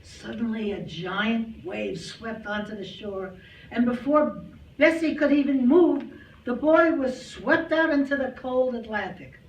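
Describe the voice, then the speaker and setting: A woman reads a story theatrically, in a fairly high voice and at an unhurried, deliberate pace. She is picked up from a distance in a very echoey room, with some noise in the recording. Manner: dramatic, deliberate delivery. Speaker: reader at a community readers theater. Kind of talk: staged storytelling reading. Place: club hall stage, before an audience. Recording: distant mic, echoey hall, noisy.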